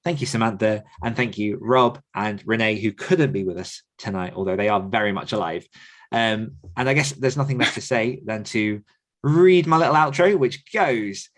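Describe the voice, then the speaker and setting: A man's voice: fairly low pitch, moderate pace. A man hosting a podcast casually, in an enthusiastic, effusive manner. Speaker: podcast host and author coach.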